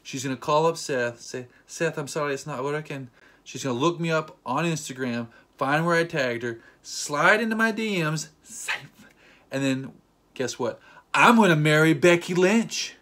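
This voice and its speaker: A man's voice: on the high side, normal speed. Jokey man telling a fan story. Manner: playful, jokey, animated retelling with acted quotes. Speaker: vlogger. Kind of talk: casual storytelling vlog.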